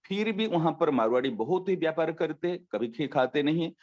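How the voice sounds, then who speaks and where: A man with a somewhat high voice delivers a devotional talk as a spiritual teacher. He unpacks his points through everyday examples, slowing down to repeat the key phrases. The recording sounds dull and lo-fi. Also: expressive, darkish tone. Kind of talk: devotional discourse.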